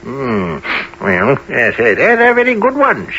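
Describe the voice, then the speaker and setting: An actor playing a wily, jolly old pickpocket master in a radio play, wheedling and theatrical with plenty of chuckles. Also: male voice, medium pitch, wildly swooping pitch, a little slow, pushed and bright, lo-fi sound, a little hiss or hum, heavily acted. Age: older.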